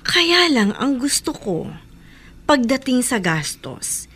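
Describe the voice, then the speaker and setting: A high-voiced, chiding Filipina aunt. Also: female voice, sing-song, slow, a bit bright, breathy edge, exaggerated voice. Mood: exasperated, firm. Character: married aunt, kindly but fed-up lender, chiding. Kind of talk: Filipino radio drama acting.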